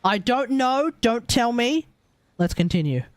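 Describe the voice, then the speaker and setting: A woman's voice: fairly high pitch, lively intonation, measured pace, dry recording. A woman doing casual, chatty live game commentary, thinking aloud as she plays. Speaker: game streamer. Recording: game music behind.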